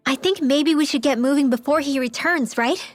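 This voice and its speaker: High-pitched, wary young girl voiced by a woman. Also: normal speed, no room sound, soft background music, natural voice. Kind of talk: video game cutscene voice acting.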